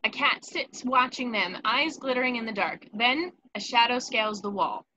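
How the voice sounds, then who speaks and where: A woman's voice: a little high, normal speed, bright, forceful tone, rough recording. A woman narrating scripted stage directions in a table read, with faint noise behind her.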